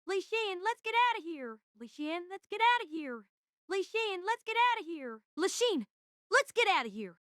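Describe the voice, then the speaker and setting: A woman's voice: very high-pitched, sing-song, moderate pace, a bit bright, dry recording, clean studio sound, pitched above the performer's own voice, full character voice. A woman voicing a cheeky shadow puppet copy of a boy in a chirpy, sing-song manner. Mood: frantic, repetitive.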